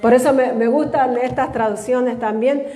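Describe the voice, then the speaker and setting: Woman pastor, live sermon, slow scripture reading, congregation repeats after her, fairly high voice, booming hall reverb. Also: steady tone, warm tone. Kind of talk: live church sermon teaching. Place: church in a large hall. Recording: echoey church hall with long reverb.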